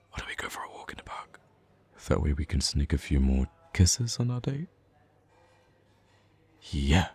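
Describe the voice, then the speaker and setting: A man's voice: rumbling low, darkish tone, slightly rough, exaggerated voice. A man playing a smitten young best friend in an intimate romantic ASMR roleplay, speaking hesitantly and tenderly with some playful teasing. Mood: teasing, affectionate.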